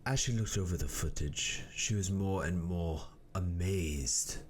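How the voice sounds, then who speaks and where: A man reads scripted story narration in a deep voice. He is slow and measured, pausing within sentences, with faint noise behind him.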